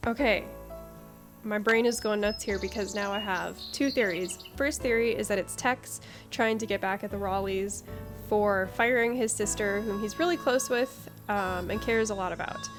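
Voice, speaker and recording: A woman giving casual, expressive playthrough commentary, thinking aloud. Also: medium pitch, normal speed. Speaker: game streamer. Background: game music.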